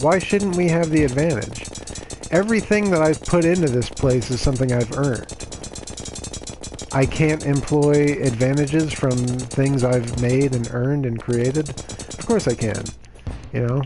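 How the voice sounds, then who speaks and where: A man streaming a game, voice a little raspy from reading aloud the day before, chatting casually with lots of "you know"s and "uh"s. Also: mid-range voice, darkish tone, low-quality recording. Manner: chatty and self-justifying, lots of fillers. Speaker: gaming streamer. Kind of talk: casual gameplay commentary.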